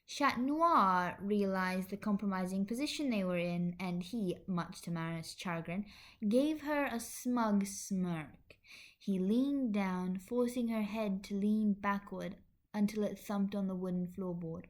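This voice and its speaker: A woman's voice: medium pitch, a little slow. A woman narrating fan fiction expressively as a podfic reader.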